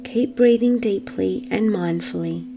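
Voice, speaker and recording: A woman leading a guided meditation for children in a gentle, measured manner with long pauses. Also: medium pitch, vocal fry, music in the background.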